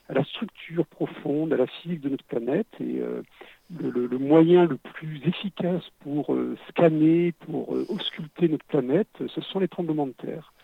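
A man from the French Alps, a guest scientist, explaining carefully with many restarts, heard over a muffled phone line in a radio interview. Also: on the high side. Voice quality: stuffy, husky.

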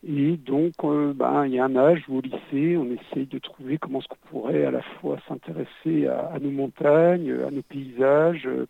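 A man's voice: mid-range voice, no echo. A man from the French Alps, a guest scientist, explaining carefully with many restarts, heard over a muffled phone line in a radio interview. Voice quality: stuffy, husky.

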